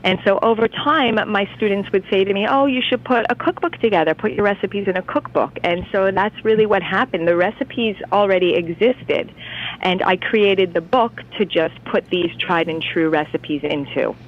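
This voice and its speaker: A woman from Canada, a cookbook author, talking brightly on a phone line into a radio interview, often starting with "you know what". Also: mid-range voice, normal speed. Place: on the phone from home city.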